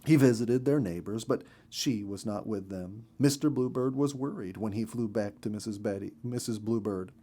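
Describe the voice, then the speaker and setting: A man reading a children's story as narrator in an expressive, gentle storytelling manner. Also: on the low side, moderate pace, hardly any pauses.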